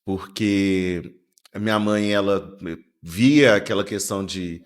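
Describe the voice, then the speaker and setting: A man's voice: on the low side, steady tone, takes it slowly. A man, a lawyer guest telling family stories in an easygoing, humorous way. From born in Venezuela, raised in inland Minas Gerais, Brazil. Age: 40s. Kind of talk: casual interview storytelling.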